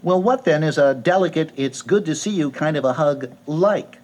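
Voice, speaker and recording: An actor playing an anxious, socially awkward bookish man in an audio drama, with formal, fussy, hesitant speech and a husky tone. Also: a little high, expressive, normal speed, a little mellow. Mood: puzzled, nervous.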